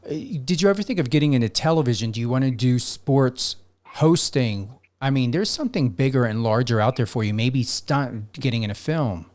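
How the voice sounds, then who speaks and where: A man's voice: medium pitch, expressive, brisk, a little mellow. A man hosting a radio interview, asking the guests questions with an occasional "uh" between them. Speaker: radio show host.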